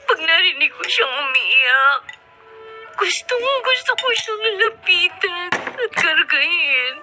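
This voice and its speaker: A woman's voice: very high voice, animated, takes it slowly, sharp tone, soft background music, heavily acted. A woman with a Filipino accent playing an emotional young student mother, polite and full of longing, in a radio drama. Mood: anguished, crying.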